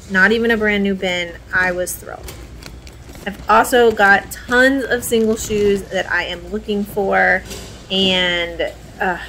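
A woman's voice: mid-range voice, a bit bright, rough recording. A woman in her thirties chatting casually and informally to her viewers as a thrift reseller vlogger. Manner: informal, addresses viewers as guys. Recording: clear background noise, warehouse ambience.

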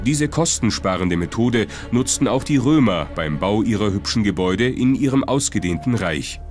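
Low-voiced documentary narrator, man. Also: lively intonation, normal speed, darkish tone. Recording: music behind the voice. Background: music.

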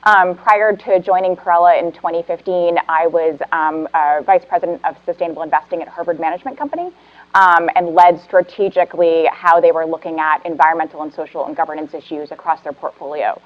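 A woman's voice: on the low side, fairly quick, muffled recording. A woman in her thirties introducing herself on a conference panel as an investment manager, confident and fluent. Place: conference room panel, indoors.

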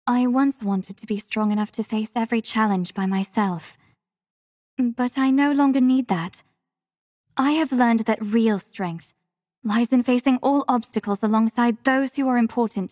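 Poised, higher-voiced female android captain. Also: darkish tone, no room sound, studio quality. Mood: polite, warm. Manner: formal, polite, earnest. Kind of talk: scripted video game character lines.

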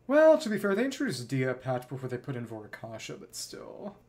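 A man's voice: mid-range voice, huge pitch swings, brisk, a little mellow. A man streaming a video game, thinking aloud in casual, rambling commentary and reading bits of dialogue. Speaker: livestreamer playing a video game.

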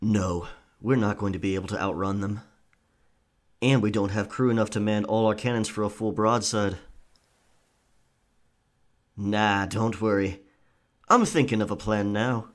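A man's voice: on the low side, steady tone, unhurried, pauses often, lightly acted. A man playing a cocky, sly pirate rogue in an audio roleplay, with theatrical, taunting and charming delivery. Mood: urgent, low. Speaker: ASMR roleplay performer. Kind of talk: pirate ASMR roleplay acting.

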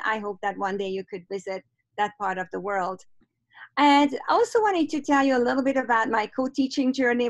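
A woman's voice: fairly high pitch, no room sound. Hungarian-accented woman, middle-aged, encouraging webinar presenter, dry close sound on a video call. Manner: warm, encouraging, reads out chat replies. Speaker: professor leading a webinar. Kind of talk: online teacher workshop presentation. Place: home office on a video call. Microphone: computer mic on a video call.

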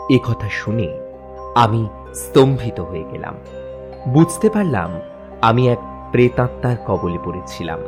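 A man narrating a first-person horror story for a cartoon in a measured storytelling manner. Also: medium pitch, animated. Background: faint background music.

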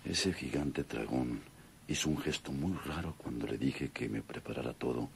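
Un actor que interpreta a un villano mexicano codicioso y vengativo de radionovela, teatral y rasposo, que habla para sí mismo. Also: male voice, a bass voice, moderate pace, slight background noise. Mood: gloating, scheming. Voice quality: gravelly. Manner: muttering to himself, theatrical. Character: greedy, vengeful, scheming male villain landowner. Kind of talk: Mexican radio drama acting.

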